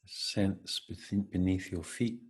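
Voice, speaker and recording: A man leading an unscripted, reflective guided meditation, speaking gently in halting phrases with long pauses. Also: low-pitched, fairly even intonation, breathy edge.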